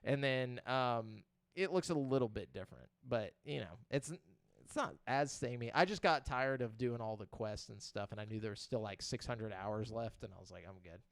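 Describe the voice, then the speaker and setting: A man's voice: mid-range voice, moderate pace, gravelly, no room sound. A man hosting a casual podcast, reading game release news in a loose, profane, joking way and stumbling over names. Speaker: podcast host.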